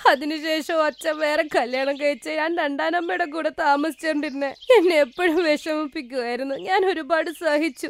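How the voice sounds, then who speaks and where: A woman voicing a gentle, wronged young orphan woman in a cartoon moral story, earnest and plaintive. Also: sits high.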